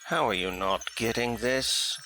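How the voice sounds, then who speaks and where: A man's voice: medium pitch, a little slow, bright, forceful tone, slight background noise. A man playing a stern, exasperated alien villain commander in an audio drama, imperious and contemptuous.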